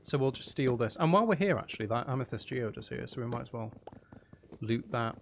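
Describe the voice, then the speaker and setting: Guy streaming a game, just thinking out loud, kinda wry, mid pitch, bit of noise behind him. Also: animated, quick pace, vocal fry. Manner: self-questioning, thinking aloud. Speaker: streamer, host of the channel. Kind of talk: casual gameplay commentary, chatting to viewers.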